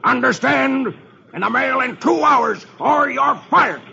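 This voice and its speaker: A man voicing a blustering, bossy office boss with a gravelly voice in an old-time radio comic-strip reading. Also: extremely high pitch, big pitch swings, normal speed, over loud music, voice pushed up, full character voice. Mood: angry, threatening. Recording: dull, noisy old radio recording.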